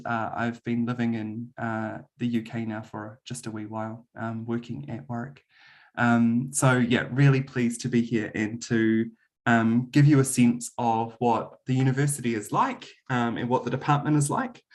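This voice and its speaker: New Zealand man lecturing on a video call. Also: mid-range voice. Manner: friendly, frequent "um" fillers. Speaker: university lecturer. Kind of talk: webinar presentation.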